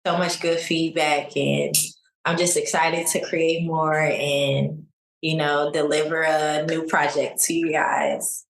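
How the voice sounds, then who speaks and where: A young adult woman from Chicago, a singer, talking in a relaxed, laid-back way in a casual interview. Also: low-pitched, takes it slowly. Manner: relaxed, laid-back, many likes and you knows. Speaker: singer guest. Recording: echoey room.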